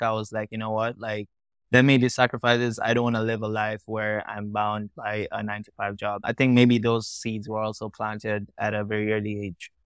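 A man's voice: fairly low pitch, clean tone, no echo. A young man from Tanzania, a founder talking casually as a podcast guest, often saying "like" and "you know". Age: young adult. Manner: casual, lots of likes and you-knows.

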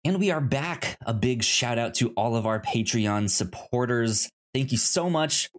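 A man hosting a podcast in a casual, chatty style, sometimes halting and repeating words as he searches for phrasing. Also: medium pitch, lively intonation. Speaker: podcast host.

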